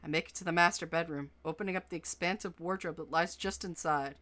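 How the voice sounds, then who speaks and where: A woman narrating as a soft-spoken, anxious young boyfriend in a first-person story. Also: fairly low pitch, fairly even intonation, fast talker, natural voice. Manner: quiet, fretful, self-doubting.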